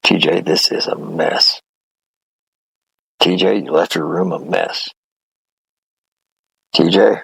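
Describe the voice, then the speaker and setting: A man playing a weary, grumbling man in an audio drama, with a rough, husky voice. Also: low-pitched, steady tone, slow pace, long pauses, a bit bright, no echo, heavily acted, rough recording.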